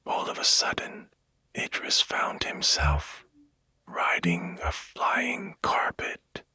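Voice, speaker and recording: A man narrating a bedtime story in a hushed, gentle and soothing manner, taking his time. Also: deep voice, flat delivery, takes it slowly, slightly rough, whispered. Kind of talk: slow, whispered bedtime storytelling. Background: music.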